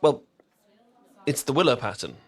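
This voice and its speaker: A man's voice: mid-range voice, animated, moderate pace. A British man playing a flustered, tea-obsessed boyfriend in an ASMR roleplay, stammering and rambling as he chats to the listener. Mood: explaining, rambling.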